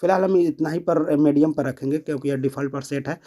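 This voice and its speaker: A man's voice: a little high, quick pace, warm tone. A man presenting a step-by-step app tutorial, explaining and repeating each step.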